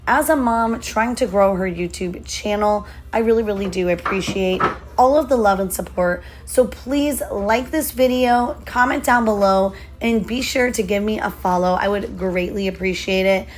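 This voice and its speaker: A woman in her thirties with a hoarse voice, chatting casually and upbeat through a vlog voiceover. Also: medium pitch, moderate pace. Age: 30s. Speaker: lifestyle vlogger.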